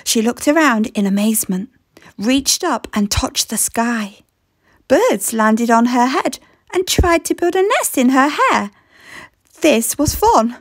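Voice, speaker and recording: A woman reading a children's story aloud in expressive scripted narration. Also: a little high, takes it slowly, no echo.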